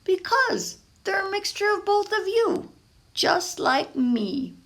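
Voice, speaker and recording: A woman reading a storybook and voicing an eager, expressive young boy from a family of bakers. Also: very high-pitched, slow, voice pushed up, exaggerated voice. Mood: excited.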